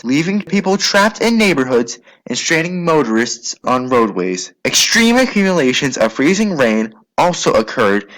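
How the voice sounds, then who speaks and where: Male narrator, scripted news style. Formal read, very varied pitch, occasional stumbles. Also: fairly bright tone, low-quality recording.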